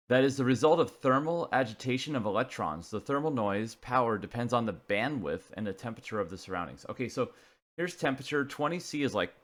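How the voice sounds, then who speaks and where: A man giving an informal technical explanation, talking haltingly as he thinks aloud. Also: medium pitch, fairly bright tone. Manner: casual, halting, thinking aloud while working through figures. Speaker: presenter of a CB radio technical video.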